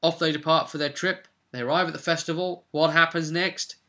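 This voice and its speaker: A man's voice: fairly high pitch, steady tone, brisk, pushed and bright. A man, a game master hosting a vidcast, informally recapping his tabletop role-play campaign.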